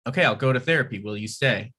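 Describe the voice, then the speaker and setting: A man reading a bright-voiced, struggling writer. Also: mid-range voice, quick pace. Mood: pleading. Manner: pleading and awkward. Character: struggling male TV writer, thirty-six. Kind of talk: table read.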